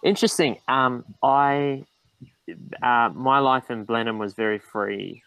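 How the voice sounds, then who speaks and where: A man's voice: mid-range voice, a little slow, a bit bright, clear, resonant tone, no echo, poor audio. A man, a guest in a casual interview, talking with frequent ums and restarts. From New Zealand. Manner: hesitant, restarts with many ums.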